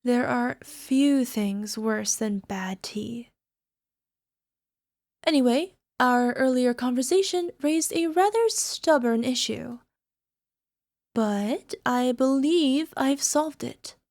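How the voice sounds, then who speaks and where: Woman acting as yandere alchemist girlfriend, high-pitched, soft and coaxing, sweetly obsessive, ASMR roleplay, close dry recording. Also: slow, no room sound. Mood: proud, playful. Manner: soft, coaxing, giggly, slipping into whispers. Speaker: voice actor. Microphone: close microphone, dry.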